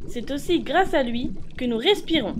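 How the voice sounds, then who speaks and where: A woman's voice: high voice, lively intonation, moderate pace, a little hiss or hum. A woman reading scripted educational narration for children, explaining things and addressing the viewers directly.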